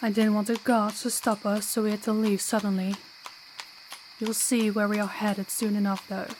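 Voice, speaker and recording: A woman's voice: mid-range voice, a little mellow, a little hiss or hum, natural voice, poor audio. A woman playing a young princess, stern, principled and formal, in an audio roleplay. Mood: gentle, explaining. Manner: formal, commanding, measured diction. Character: young princess, stern, authoritative, compassionate, defiant.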